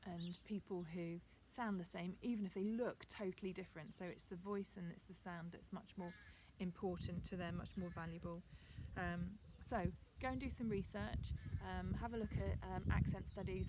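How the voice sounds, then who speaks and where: A woman teacher with a received pronunciation accent gives a chatty, relaxed video lesson outdoors in her garden, with frequent ums. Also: medium pitch, normal speed, hardly any pauses, noisy background.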